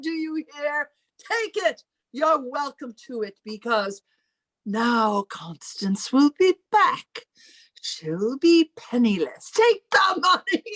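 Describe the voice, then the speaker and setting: Bitter spinster sister, high voice, swooping pitch, slow theatrical delivery, radio melodrama. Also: female voice, fairly bright tone, exaggerated voice. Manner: theatrical, drawn-out, exultant. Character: bitter, gloating spinster sister laughing in spiteful triumph. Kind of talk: old-time radio melodrama acting.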